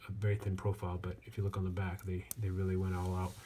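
A man giving a casual hands-on product review as a reviewer, speaking in a halting way with frequent pauses. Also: low voice. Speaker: watch reviewer. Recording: clear steady background noise.

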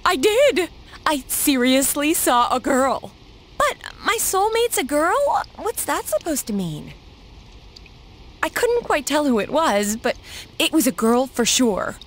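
A woman playing an energetic, curious, outspoken teenage schoolgirl in an anime-style video game, talking in an excitable, informal way. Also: high voice, slow, full character voice. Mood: excited, puzzled.

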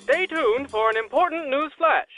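A man's voice: very high voice, moderate pace, loud music behind the voice. A man playing an urgent, exaggerated television announcer in a cartoon game, heard through a TV speaker. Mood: urgent, promotional. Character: booming television news flash announcer. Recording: tinny television speaker sound.

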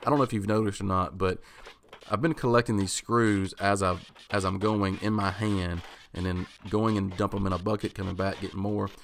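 Man in his thirties, lowish voice, relaxed workshop vlog voice-over, thinking aloud, faint hiss behind. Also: normal speed, gravelly. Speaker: woodworking channel host. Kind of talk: casual voice-over explaining a project.

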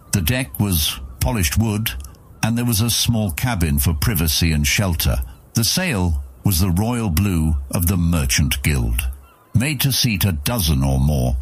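Deep-voiced male audiobook narrator. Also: breathy edge.

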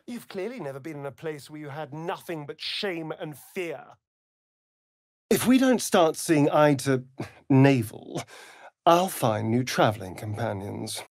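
A man's voice: a little high, expressive, a little slow, dry recording. A vain, flirtatious male vampire rogue from a fantasy video game. He has a British accent and an arch, theatrical manner, lingering over his words with sweeping, sardonic intonation. The sound is close and dry. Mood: bitter, sharp.

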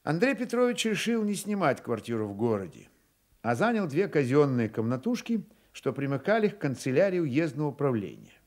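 Casting: male storyteller for radio theatre, expressive, higher-than-average voice with lively melodic swings. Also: fairly quick. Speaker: narrator. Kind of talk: dramatized literary narration for radio.

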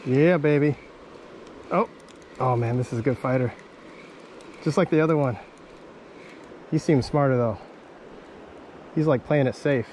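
A man filming himself fishing on a river bank with a chest-mounted camera, excitedly calling out to viewers as he fights and lands fish. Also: mid-range voice, unhurried, long pauses, slight background noise. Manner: exclaims often, talks to viewers. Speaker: fishing vlogger. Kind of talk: excited casual commentary while fishing. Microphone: chest or head mounted action camera.

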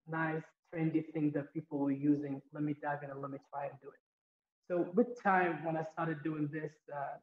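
A young man from Somaliland, a visual artist, talking through his work on a video call with frequent hesitations. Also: on the high side. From Somaliland, grew up partly in Saudi Arabia. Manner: hesitant, many fillers and restarts. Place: small room indoors. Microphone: laptop video call. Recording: roomy, dull video-call audio.

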